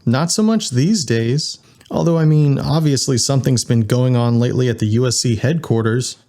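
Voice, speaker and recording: A man's voice: mid-range voice, normal speed, warm tone, lightly acted. A man voicing a cheeky, cocky male corgi who pilots a ship and steals, in a sci-fi audio story. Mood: cocky, teasing.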